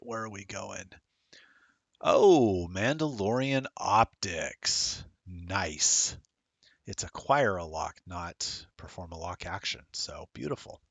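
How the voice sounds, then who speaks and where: A man's voice: fairly low pitch, animated, slow pace, vocal fry, dry recording. A middle-aged man rambling casually while commentating a streamed tabletop game, self-deprecating and chatty.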